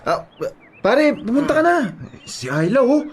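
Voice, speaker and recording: An actor playing a chummy young male drinking buddy in a Filipino radio drama, talking in a coaxing, animated way. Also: very high voice, normal speed.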